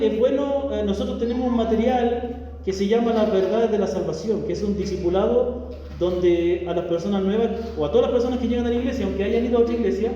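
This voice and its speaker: A man's voice: very high-pitched, mellow, slight background noise. A man from Chile with a Chilean accent, a pastor preaching a chatty, joking sermon full of rhetorical questions to the congregation. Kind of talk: conversational sermon. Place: church hall. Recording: echoey church hall from far off, dull.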